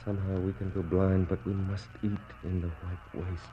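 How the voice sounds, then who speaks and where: A man acting a despairing British naval captain in a 1960s radio play, declaiming in anguished, bitter soliloquy that swings between hushed prayer and outcry. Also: sits low, little pitch movement, moderate pace, natural voice. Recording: dull, noisy 1960s radio recording.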